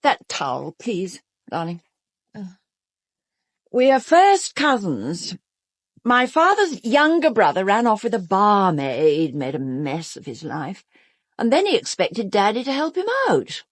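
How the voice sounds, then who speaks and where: A self-absorbed British stage diva in a radio drama. Her voice is husky and swings widely in pitch, recorded close and dry. She is haughty and imperious, with a theatrical, melodramatic delivery that betrays an anxious vanity. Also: huge pitch swings, a little slow, no room sound. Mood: disdainful. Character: vain, self-absorbed, fearful stage actress. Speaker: actress in an audio drama.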